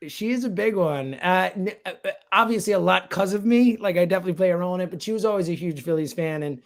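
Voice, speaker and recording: A man's voice: high voice, fast. A man speaking casually and emphatically as a sports radio guest, full of slang and strong opinions. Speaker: sports radio producer and show host, guest.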